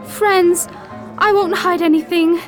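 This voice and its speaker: A woman's voice: extremely high pitch, steady tone, slow, faint music behind. A woman playing an anguished young bride-to-be in a melodramatic radio play, burdened by a shameful secret. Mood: anguished confession. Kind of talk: melodramatic radio drama acting.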